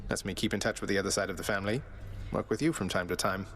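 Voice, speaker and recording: An actor playing an earnest young prince of the underworld in a video game, polite, understated and lightly wry. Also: male voice, low voice, fairly even intonation, very fast, fairly bright tone. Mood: wry, content. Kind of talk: video game character dialogue. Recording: faint music behind the voice.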